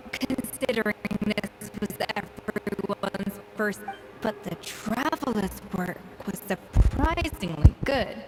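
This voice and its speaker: An actress voicing an exacting, proud young master chef in an anime video game, giving precise, bossy instruction. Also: female voice, a little high, noisy background.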